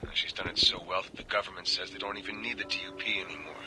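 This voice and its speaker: An actor as a low-voiced, weary agent turned informant. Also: male voice, steady tone, fast, noisy background, lightly acted. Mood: grave, warning. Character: weary, cynical government agent turned secret informant, confiding. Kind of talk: scripted game audio log, confiding monologue.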